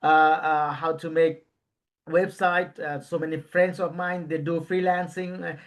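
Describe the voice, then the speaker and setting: A man from Bangladesh, hosting a casual podcast interview and often pausing to search for words. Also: a little high, flat delivery. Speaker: podcast host.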